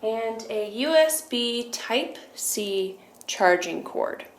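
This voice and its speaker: A woman's voice: a little high, animated, drawn-out words, a little hiss or hum. A woman presenting a casual product demonstration, explaining things step by step.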